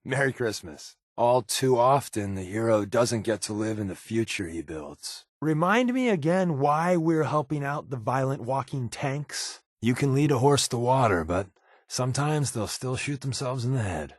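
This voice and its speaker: A man voicing a wry, secretive spy companion in a video game, with casual, sardonic, deadpan quips. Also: mid-range voice, moderate pace, no echo, clean studio sound, close to an everyday voice. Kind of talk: game companion dialogue lines.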